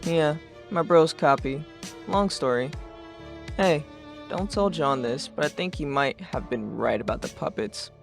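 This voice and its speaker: A man's voice: on the high side, measured pace, vocal fry. A man playing a laid-back, sarcastic, secretly uneasy teenage boy who talks in casual, sweary slang in a webcomic fan dub. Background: music.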